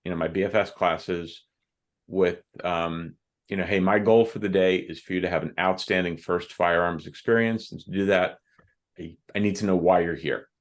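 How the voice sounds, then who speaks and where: Deep-voiced man telling stories. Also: fairly even intonation, moderate pace. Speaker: guest, author and firearms instructor. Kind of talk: podcast conversation, telling stories from classes.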